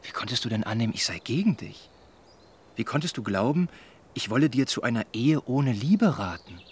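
Earnest young gentleman, lively intonation. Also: mid-range voice, a little hiss or hum. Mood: reassuring. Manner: measured, formal, persuading. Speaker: radio drama actor. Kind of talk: German radio drama dialogue.

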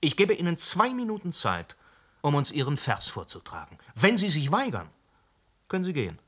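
An actor playing a sharp, sarcastic police inspector who presses hard in a radio drama. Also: male voice, fairly high pitch, huge pitch swings, moderate pace, husky, heavily acted. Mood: pressing. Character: aggressive, blunt male police inspector. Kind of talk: German radio drama acting.

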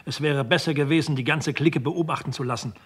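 Brash high-voiced police sidekick. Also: male voice, fast talker, husky. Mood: angry, impatient. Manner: blunt, needling. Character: brash, impatient police sidekick, eager and hot-tempered. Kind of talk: German radio crime drama acting. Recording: vintage radio play, faint music.

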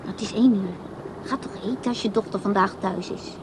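A woman's voice: medium pitch, fairly quick, background noise, close to an everyday voice. An actress playing a teasing, blunt young woman in a radio drama, probing and needling.

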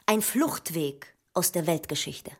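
Husky, searching memoirist. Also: female voice, lowish voice, animated, normal speed. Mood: obsessive, searching. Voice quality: husky, breathy, at times near whisper. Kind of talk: scripted literary radio drama.

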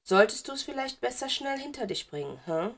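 A woman's voice: a little high, big pitch swings, normal speed, voice pushed up. A woman voicing a stern, sarcastic, impatient male wizard teacher in an audiobook, curt and sardonic. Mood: sardonic threat. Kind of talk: audiobook character voice.

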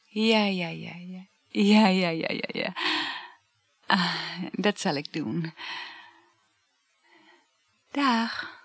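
A woman's voice: lowish voice, very slow, pauses often, breathy edge, exaggerated voice. An actress playing a chatty, flirtatious married woman in a Dutch radio play, effusive and full of sighs. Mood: tired, affectionate.

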